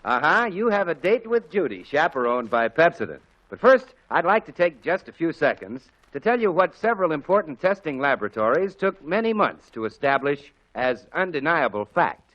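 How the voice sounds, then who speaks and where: Man, 1940s radio announcer, raised pitch, sweeping intonation, polished, emphatic, muffled broadcast sound. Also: sing-song, normal speed, hardly any pauses, fairly bright tone. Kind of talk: 1940s radio advertising and scripted narration.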